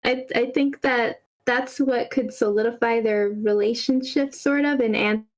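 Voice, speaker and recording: A woman's voice: on the high side, fairly even intonation, unhurried. A woman giving informal, conversational feedback on an online meeting. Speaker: script feedback participant.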